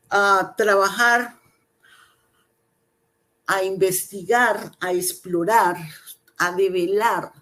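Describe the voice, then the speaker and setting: Woman, middle pitch, very slow and hesitant; academic talk over a video call. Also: poor audio. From Colombia. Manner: slow and measured, with frequent hesitations and repeated words. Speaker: invited professor and researcher. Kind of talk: academic seminar presentation.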